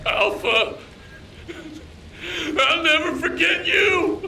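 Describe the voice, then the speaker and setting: A man's voice: very high voice, background noise, exaggerated voice. An actor playing a huge blubbering male crybaby who wails and sobs in a video game cutscene. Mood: wailing. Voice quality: wailing, creaky, sobbing.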